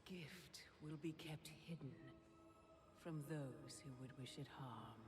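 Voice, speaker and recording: An actress playing a noble, protective female elven leader in a fantasy game, with measured, hushed, ceremonial delivery. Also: sits low. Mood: reverent, solemn. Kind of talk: fantasy video game voice acting. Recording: loud music under the voice.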